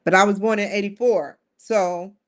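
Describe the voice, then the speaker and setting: A woman's voice: mid-range voice, fairly even intonation, normal speed. A woman in her forties hosting a live-streamed solo talk show, rambling earnestly with frequent restarts. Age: 40s. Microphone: streaming setup.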